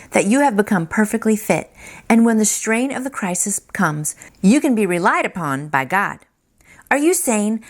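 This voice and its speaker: A woman hosting a daily devotional, reading aloud and adding casual, earnest, encouraging asides. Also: mid-range voice. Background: music.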